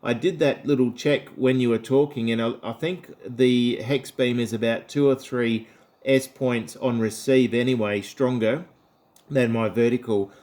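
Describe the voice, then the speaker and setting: A man's voice: medium pitch, fairly even intonation, unhurried, a little mellow. A man with an Australian accent talking casually and chattily as an amateur radio operator during a contact.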